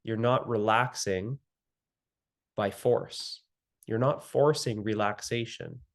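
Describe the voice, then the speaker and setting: A man leading a guided meditation as the guide, speaking gently and soothingly with long pauses between phrases. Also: on the low side.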